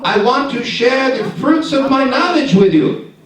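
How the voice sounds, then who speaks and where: A man's voice: extremely high pitch, animated, unhurried, crowd noise. A man doing an eager, chatty puppet know-it-all. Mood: coaxing. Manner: eager, coaxing, leads the audience in call-and-response. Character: chatty, ingratiating grown male know-it-all friend. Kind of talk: live shadow puppet theatre. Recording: echoey hall, distant mic.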